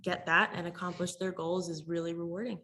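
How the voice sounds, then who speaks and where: A woman's voice: fairly low pitch, steady tone, brisk, pushed and bright. A woman speaking as an advocate on a community panel, upbeat and chatty, laughing easily. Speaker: domestic violence advocate. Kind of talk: panel discussion.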